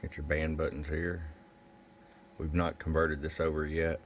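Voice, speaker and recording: A man's voice: rumbling low, little pitch movement, a little slow. A man giving a casual bench review of gear, plain-spoken and thinking aloud as he goes. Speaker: product reviewer. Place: indoor electronics workbench. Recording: faint background noise.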